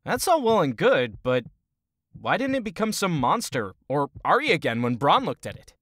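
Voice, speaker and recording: An actor playing a young male high elf in a fantasy audio drama, incredulous and hesitant. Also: very animated, normal speed, fairly bright tone, no echo, clean studio sound. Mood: puzzled.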